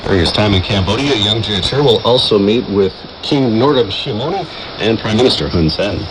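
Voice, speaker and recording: A man reading a scripted radio news bulletin in a formal, measured manner. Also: on the low side, lively intonation. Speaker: news presenter. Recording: noisy shortwave radio reception. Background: radio static and noise.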